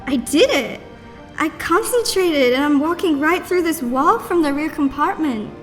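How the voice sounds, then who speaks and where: Teen heroine, played by a woman: plucky, anxious girl, high voice, audio drama, long hollow reverb. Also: lively intonation, unhurried, faint music behind. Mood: amazed, pleased. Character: plucky, anxious young teenage girl hero.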